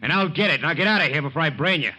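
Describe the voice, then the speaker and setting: A man's voice: a little high, very fast, pushed and bright, rough recording. A man playing a proud, hostile, hard-drinking man down on his luck, curt and snarling, in an old radio drama. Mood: angry, defiant.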